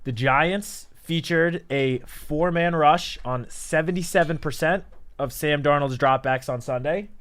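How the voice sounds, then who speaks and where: A man's voice: on the high side, a little slow, fairly bright tone. A man co-hosting a sports radio show, laying out statistics and building a detailed argument. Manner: analytical, stat-driven, builds an argument. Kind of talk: sports analysis talk.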